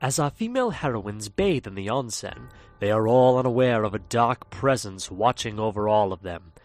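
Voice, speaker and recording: A man's voice: on the low side, animated, normal speed, darkish tone, no echo, faint music behind. A man narrating a fan audio drama in a theatrical storytelling manner. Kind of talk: scripted narration.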